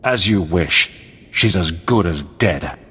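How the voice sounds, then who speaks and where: A man as a gruff, slow bodyguard commander. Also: low voice, animated, a bit bright, big-room sound, pitched below the performer's own voice, full character voice. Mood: obedient.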